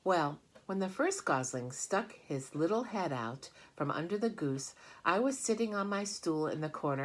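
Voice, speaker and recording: Woman as an earnest, chatty little girl, lifted pitch. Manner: eager, matter-of-fact. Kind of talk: children's storybook reading.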